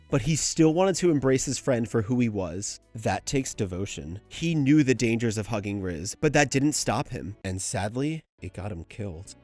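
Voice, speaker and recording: A man's voice: lowish voice, a little mellow, no echo. A man hosting a video essay, delivering scripted analysis in a casual, conversational way.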